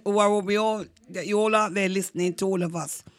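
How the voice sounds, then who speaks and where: A Jamaican woman telling a childhood folk story as a guest, rambling and warm, breaking into singing. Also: mid-range voice, fairly even intonation, brisk.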